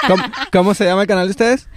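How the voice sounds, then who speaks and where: A man's voice: high-pitched, very animated, a little fast, background noise. A man co-hosting a podcast, chatting casually, asking easygoing questions and chuckling often. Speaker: podcast co-host. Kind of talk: casual chat.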